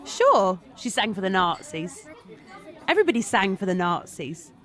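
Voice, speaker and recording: A woman's voice: medium pitch, sing-song, moderate pace, a bit bright, some chatter behind. A woman playing a blunt, cynical Parisian survivor who narrates wartime memories in an audio drama monologue. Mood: defiant, wry. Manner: wry, blunt.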